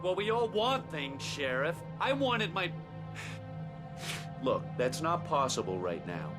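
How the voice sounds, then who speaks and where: An actor playing a drunken, belligerent federal agent in a video game, sneering and mocking. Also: male voice, on the high side, big pitch swings, takes it slowly, over music. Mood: irritated. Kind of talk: video game cutscene acting.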